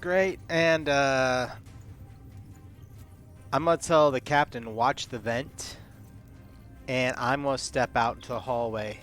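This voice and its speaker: A man's voice: mid-range voice, fairly even intonation, slow, pauses often, a bit bright. A man chatting casually as a player at a tabletop roleplaying game, calling out his moves and rolls. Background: music.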